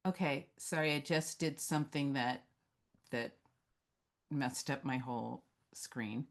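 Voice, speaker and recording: A woman's voice: extremely low pitch, a little slow. A woman moderating a script-reading Q&A in a conversational, reflective and encouraging way, passing on audience comments.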